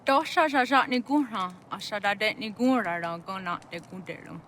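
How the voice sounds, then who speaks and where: A woman's voice: medium pitch, moderate pace, a bit bright, a little hiss or hum, natural voice. An actress playing a village woman in a dramatic historical video game cutscene. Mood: intent.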